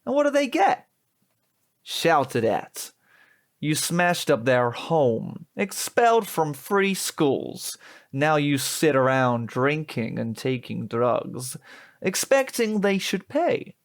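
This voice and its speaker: A man playing a prim, smugly polite young replacement son in a comic audio drama, formal, measured and reproachful. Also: a little high, very animated, exaggerated voice.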